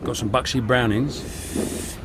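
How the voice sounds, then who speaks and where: An actor playing a chummy, wheeling-dealing army quartermaster sergeant in a radio drama, with an English accent and colloquial, knowing delivery. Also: male voice, medium pitch, steady tone, takes it slowly, noisy background, natural voice. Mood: chummy, conniving.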